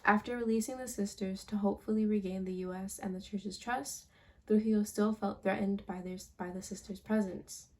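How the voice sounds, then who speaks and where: Young woman, student presenter, mellow warm tone, casual and slightly halting. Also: mid-range voice, fairly even intonation. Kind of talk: class presentation over slides.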